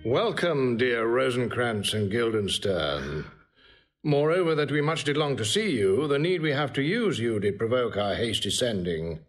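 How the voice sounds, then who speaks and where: An actor playing a stately, formal, smooth-talking king in a classical Shakespearean audio drama. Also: mid-range voice, very animated, measured pace, faint music behind. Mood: gracious, measured.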